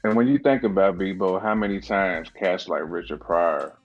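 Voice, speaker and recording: A man's voice: deep voice, normal speed, dull audio, soft background music. A man co-hosting a podcast, talking emphatically and cutting in during casual chat. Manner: emphatic, interjecting. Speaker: podcast co-host. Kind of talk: casual discussion.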